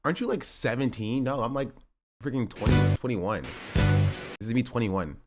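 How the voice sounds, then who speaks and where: A man's voice: medium pitch, moderate pace, over loud music. A man in his twenties streaming, rambling through casual political commentary with sarcastic asides and self-corrections. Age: 20s.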